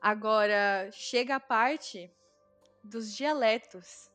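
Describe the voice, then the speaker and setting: Chatty Brazilian woman, fairly high voice. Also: extremely slow, fairly bright tone, soft background music. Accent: Brazilian Portuguese. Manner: chatty, explaining with many fillers. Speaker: guest, Brazilian living in Germany. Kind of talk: casual conversation.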